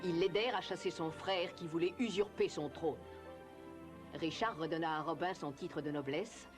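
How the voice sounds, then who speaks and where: A narrator telling a cartoon tale in measured, scripted storybook style. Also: medium pitch, close to an everyday voice. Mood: narrating. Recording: loud music behind the voice.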